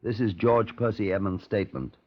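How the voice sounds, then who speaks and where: An actor playing a probing British police detective who speaks formally and deliberately in a 1950s radio crime drama. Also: male voice, on the low side, natural voice. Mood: solemn, formal. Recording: muffled old radio broadcast recording.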